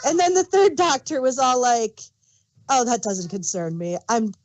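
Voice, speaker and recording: A woman's voice: on the high side, very animated, measured pace. A woman co-hosting a casual fan discussion, rambling and searching for words as she gives emphatic examples. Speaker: podcast co-host.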